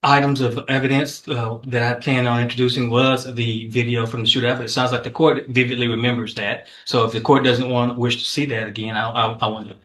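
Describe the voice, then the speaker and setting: A man speaking as a prosecutor in a courtroom, questioning and arguing in a halting way with repeated words. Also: medium pitch, little pitch movement, a bit bright.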